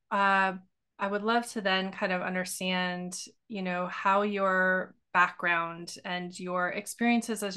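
Soft-spoken woman hosting a podcast. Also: on the low side, clean tone. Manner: soft-spoken, earnest, with frequent ums. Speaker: podcast host. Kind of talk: podcast interview.

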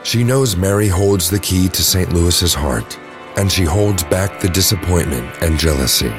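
Deep-voiced male narrator over music. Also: moderate pace. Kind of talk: scripted audio drama narration.

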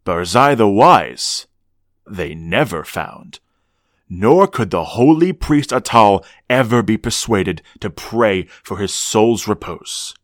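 An actor playing a grave storyteller, narrating a gothic horror radio drama with solemn, theatrical, measured phrasing. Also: male voice, fairly low pitch, expressive, no echo, studio quality. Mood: solemn, ominous. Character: grave storyteller of an ancient dread legend.

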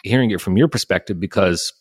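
A man in his thirties from Alabama hosting a podcast, joking and relaxed, often repeating his words. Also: sits low, a little fast, breathy edge, no echo, clean studio sound. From Alabama, USA. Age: 30s. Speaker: podcast host. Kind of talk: casual chat. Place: cigar lounge.

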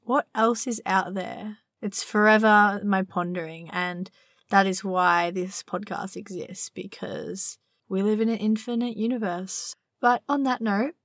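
A young woman hosting a spiritual podcast from inside her van at night, slightly husky as her voice recovers, talking in a dreamy, meandering way. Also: mid-range voice, steady tone, fairly bright tone, no room sound, studio quality. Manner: dreamy, meandering, drawn-out words. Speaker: podcast host. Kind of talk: casual spiritual podcast monologue.

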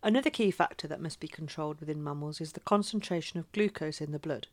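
A woman's voice: sits low, fast talker. A woman co-hosting an educational podcast, reading notes and then chatting informally. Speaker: podcast co-host. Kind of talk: casual educational podcast chat.

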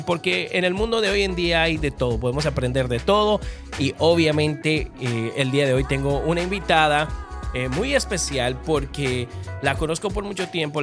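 Man, podcast host, energetic intro style, fairly high voice, music playing under him. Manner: upbeat radio-style intro, then casual questions. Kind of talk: podcast interview.

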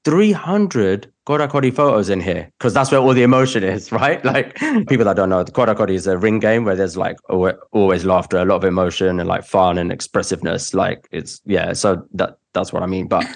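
A man's voice: sits low, a little fast, gravelly, few pauses. A young man hosting a podcast, chatting casually and rambling with lots of "like". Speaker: podcast host, wedding photographer.

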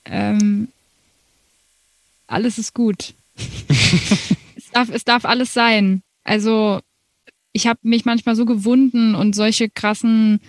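A woman's voice: fairly high pitch, slow pace, pauses often, no echo. A woman in her twenties, a musician being interviewed, talking casually with frequent laughs and fillers. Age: 20s. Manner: animated, laughs often, many fillers. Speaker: musician guest.

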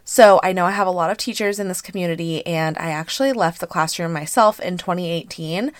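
Woman, 30s, podcast host; hoarse and a bit stuffy; lowish pitch, dry close sound, chatty and relaxed. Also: fairly quick, dry recording. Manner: chatty, friendly, frequent "um" and "like". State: hoarse and congested from allergies. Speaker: podcast host and business coach. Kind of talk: casual solo podcast chat.

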